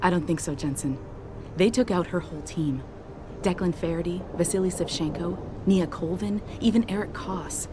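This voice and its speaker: An actress playing a loyal, candid female pilot in a video game, speaking in a low, level, often soft voice. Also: moderate pace, background noise, close to an everyday voice. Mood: steady.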